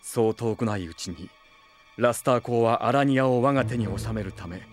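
A man playing a gentle, thoughtful sage and father in an anime audio drama, polite and measured. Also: mid-range voice, soft background music, natural voice. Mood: calm, serious.

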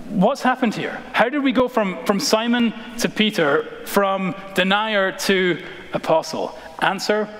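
An American man in his thirties preaching a sermon as a pastor, dramatic and emphatic, with deliberate pauses and repeated phrases, in a large church. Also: high-pitched, fairly bright tone, a little hiss or hum. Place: large church sanctuary, indoors, preaching from the stage. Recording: reverberant church hall.

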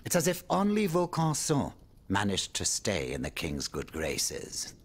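Game role: worldly French nobleman. Husky, highly expressive, theatrical and courtly, with worried, confiding asides. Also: measured pace, heavily acted. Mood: worried, musing. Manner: theatrical, courtly, swinging between exclamation and confiding murmur. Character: urbane male French aristocrat, worried, wry, world-weary. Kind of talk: dramatic video game dialogue.

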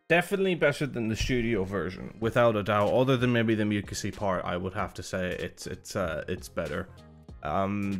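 A man hosting a music reaction video, talking casually and critically, rambling and breaking off mid-sentence. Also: fairly low pitch. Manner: rambling, self-interrupting, critical.

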